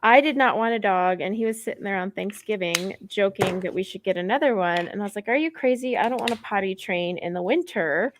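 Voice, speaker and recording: A woman hosting a live coloring stream from home, chatting casually and softly through a headset mic, laughing often. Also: medium pitch, a little fast, hardly any pauses, noisy background.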